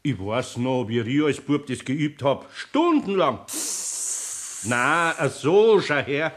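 A man playing a good-natured, middle-aged master carpenter with a Bavarian accent in a children's radio play, avuncular, teasing and gently grumbling. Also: medium pitch, lively intonation, slow, background noise. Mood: patient, amused.

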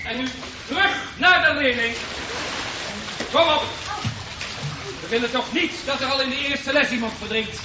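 An actor playing a brisk, teasing swimming instructor in a radio drama, commanding and jocular. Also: male voice, extremely high pitch. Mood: bossy, jocular. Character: brisk, teasing, bossy male swimming teacher. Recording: echoey, dull, noisy pool-like acoustic.

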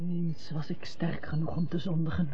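A woman's voice: deep voice, level tone, moderate pace. A penitent, dignified noblewoman in a melodramatic radio play. She speaks formally and humbly, on a muffled old recording with faint hiss. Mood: penitent, sorrowful. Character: remorseful, humble, dignified noblewoman, estranged wife. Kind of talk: old-fashioned melodramatic radio drama acting.

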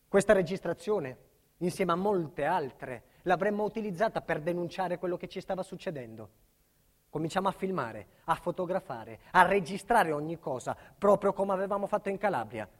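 A man's voice: high-pitched, expressive, normal speed. A male actor playing a middle-aged family man under state protection, insistent and emphatic, in a dramatic stage monologue. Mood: grave narration. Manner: emphatic, insistent, repeats himself.

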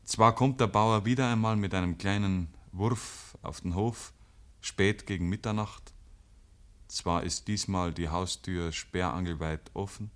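A man's voice: sits low, unhurried, fairly bright tone. A man narrating as a wry, folksy storyteller with a Bavarian accent, in dramatic 1950s radio style.

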